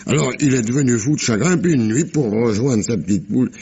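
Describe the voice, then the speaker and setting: An actor playing a rough, tipsy sailor spinning a sea yarn in slangy, rambling, halting speech, in 1950s French radio mystery style. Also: male voice, mid-range voice, mellow, dull audio. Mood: excited storytelling.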